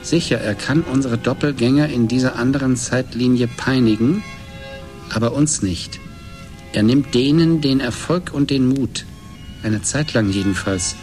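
Actor as thoughtful writer, music behind. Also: male voice, medium pitch, measured pace, darkish tone, close to an everyday voice. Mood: reflective, resolute. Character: thoughtful male writer, calmly defiant, reflecting on his enemy. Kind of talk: German radio play acting.

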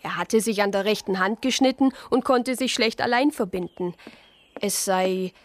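A woman's voice: mid-range voice, normal speed, close to an everyday voice. An actress playing a spirited deaconess who tells her own story with wry understatement in a radio play. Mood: wry narrating. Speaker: narrator and lead character. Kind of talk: radio play narration and dialogue.

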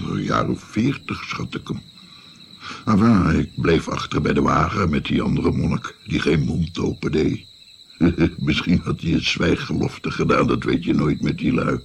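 An actor playing an old grandfather who reminisces haltingly as he tells his adventure in a radio play. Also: a bass voice, vocal fry, a little hiss or hum, heavily acted. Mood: musing. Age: older. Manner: rambling, halting, hems as he recalls.

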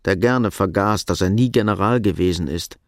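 A man's voice: low voice, moderate pace, no room sound, studio quality. A man reading scripted literary narration for a radio drama.

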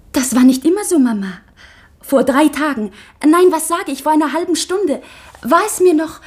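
A woman's voice: high-pitched, darkish tone, close to an everyday voice. An actress playing an anxious, earnest young convent schoolgirl, confiding intensely in her mother in a 1960s radio drama. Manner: intense, confiding, halting with emotion.

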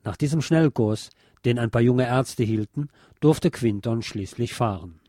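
A man's voice: mid-range voice, measured pace, dark tone, no room sound, clean studio sound. A man reading a scripted history documentary as narrator. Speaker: narrator of a history radio series.

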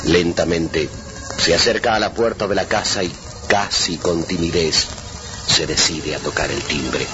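A dull archive radio recording with music clearly behind. A man with a deep voice narrates a drama, solemn and measured. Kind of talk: scripted dramatic narration, old radio theatre.

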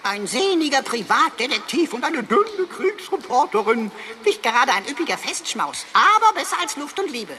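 Cheeky, lively, high-voiced computer sidekick. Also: female voice, moderate pace, a little hiss or hum, exaggerated voice. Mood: mocking. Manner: mocking, chatty, mock-grand phrases mixed with English. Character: cheeky, loyal talking computer sidekick of a detective. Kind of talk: German radio drama acting.

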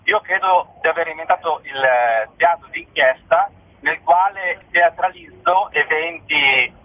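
A man speaking as a guest in a radio interview, explaining his work with deliberate, declarative phrasing. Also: fairly high pitch. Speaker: playwright and performer, interview guest. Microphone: telephone. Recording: tinny, band-limited telephone line.

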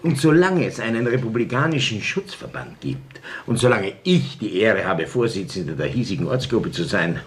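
A man's voice: on the low side, sing-song, normal speed, hardly any pauses, slight background noise. An actor playing a pompous, self-satisfied town councillor and club chairman in a radio play, speaking like an orator. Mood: smug, pompous. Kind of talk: German-language radio drama acting.

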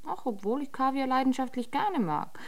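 Dryly witty detective-agency secretary. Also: female voice, high voice, normal speed. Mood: dry, deadpan. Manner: dry, deadpan wit. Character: coolly witty detective-agency secretary writing crime novels. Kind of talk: radio drama acting.

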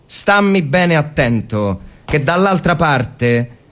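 A man's voice: on the high side, sing-song, slow pace, a bit bright, full character voice. An actor playing a tense young lieutenant in a war story, barking orders and brooding over his men. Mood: stern, careful. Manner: barks orders, then broods. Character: tense young army lieutenant in the trenches, commanding, anguished.

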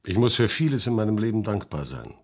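A man playing an earnest middle-aged farmer and family man with a husky voice, in an audio drama. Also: lowish voice, very animated, quick pace, a little mellow, heavily acted. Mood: solemn, grateful.

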